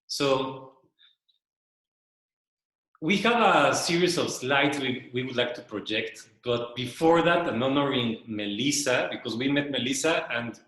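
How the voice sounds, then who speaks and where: Slow-speaking man with a Spanish first language in an echoey room. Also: medium pitch, expressive, a bit bright. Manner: slow, searching, repeats words while thinking. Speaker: presenter. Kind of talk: casual spoken presentation. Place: loft room with a small audience.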